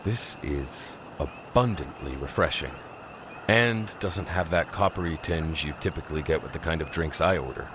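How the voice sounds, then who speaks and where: A courtly vampire for a video game, with an extremely deep voice. He is reserved and formal, choosing measured words. Noise or music sits behind him. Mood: polite, reserved. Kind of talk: scripted game dialogue.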